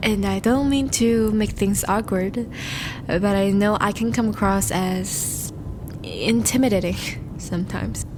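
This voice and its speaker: Woman acting a slow, courtly, charming vampire. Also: mid-range voice, noisy background, lightly acted. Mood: tender, wistful. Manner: formal, courtly, gentle phrasing with soft sighs. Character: courtly, charming vampire, tender and devoted suitor. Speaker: audio roleplay voice actor. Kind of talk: scripted romantic audio roleplay, addressed to the listener.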